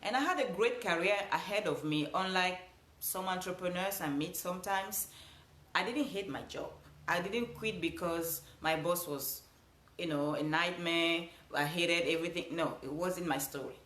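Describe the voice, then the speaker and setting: A woman's voice: lowish voice, normal speed, fairly bright tone, echoey room. A woman with a Cameroonian accent giving an earnest motivational talk, repeating phrases for emphasis. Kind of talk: motivational speech.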